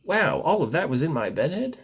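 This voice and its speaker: A pompous emperor, as a male reader puts him on in a comic storybook tale. He proclaims in a grandiose, theatrical way. The voice is husky and swings widely in pitch. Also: big pitch swings, fairly quick, exaggerated voice. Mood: amazed.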